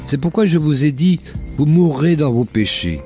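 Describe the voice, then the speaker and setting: A man with a cold and a slightly hoarse voice, hosting a radio quiz and reading Bible passages aloud with joking asides. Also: fairly high pitch, animated, moderate pace, a little mellow, faint music behind. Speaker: radio host. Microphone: studio microphone.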